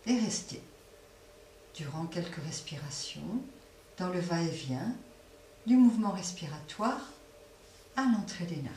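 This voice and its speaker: A woman leading a guided relaxation as a yoga nidra teacher, speaking softly and unhurriedly with long pauses between phrases. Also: lowish voice, animated. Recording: roomy echo, distant mic, faint noise.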